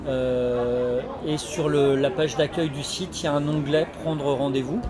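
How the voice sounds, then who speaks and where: A man explaining his association's work in an interview, halting often as he searches for words. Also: mid-range voice, steady tone. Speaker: energy renovation association representative. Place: community associations forum in a sports hall. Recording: loud crowd hubbub at a fair. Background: loud crowd.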